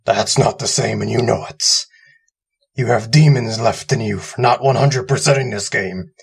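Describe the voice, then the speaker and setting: A man's voice: lowish voice, normal speed, gravelly, husky, voice pushed down, full character voice. A man voicing a sinister, taunting, bossy evil nagger in a comic gaming video skit. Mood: accusing, taunting.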